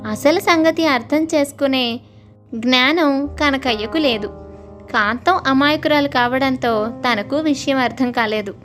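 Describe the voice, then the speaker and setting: A woman with a high voice narrates a scripted moral story as its storyteller. Light music plays quietly behind her. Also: a little slow. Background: soft music.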